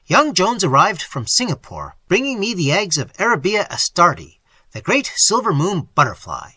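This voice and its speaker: An excitable little male scientist, as a man reading the story puts him on, high and shrill as he shouts in fury. His voice leaps wildly up and down and stays bright and breathy. The sound is close and dry. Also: normal speed, no echo, full character voice. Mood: furious.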